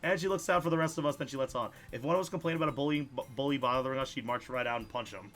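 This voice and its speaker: Guy doing a game playthrough, reads lines out real fast, bright voice, trips on words a bit, music behind him. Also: medium pitch. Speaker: let's play host. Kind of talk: video game playthrough, reading dialogue aloud with side comments.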